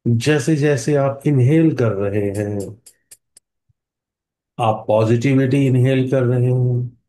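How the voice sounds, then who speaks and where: An Indian man guides a meditation in a mellow, relaxed voice. He speaks extremely slowly at a medium pitch, in short, calming phrases with long pauses between them. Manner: slow, hushed calming delivery with long pauses.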